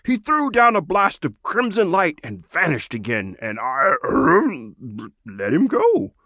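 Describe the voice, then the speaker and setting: A man's voice: fairly high pitch, huge pitch swings, takes it slowly, pushed and bright, no room sound, clean studio sound, pitched above the performer's own voice, exaggerated voice. A man voicing a proud, noble lizard wizard in a storybook, speaking in a solemn, declamatory way. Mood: solemn, earnest.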